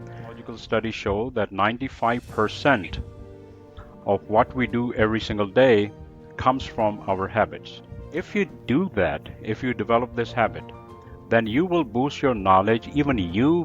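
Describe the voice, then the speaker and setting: A man with a low voice and an American-trained accent explains his points emphatically, often repeating key phrases. The sound is dull and lo-fi, with faint music underneath. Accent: American-trained English. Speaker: book reviewer, English teacher. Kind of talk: podcast interview answers.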